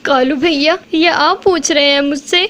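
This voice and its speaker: A woman's voice: high-pitched, steady tone, measured pace, fairly bright tone. A woman voicing a poor mother sparrow in a cartoon, pleading and tearful. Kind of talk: cartoon voice acting.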